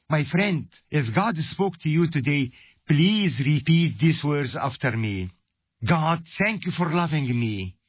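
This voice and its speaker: A man's voice: fairly high pitch, breathy edge, no room sound, dull audio. A man from Egypt giving an earnest radio gospel message, speaking straight to the listener. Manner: earnest, direct address to the listener. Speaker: evangelist and ministry host.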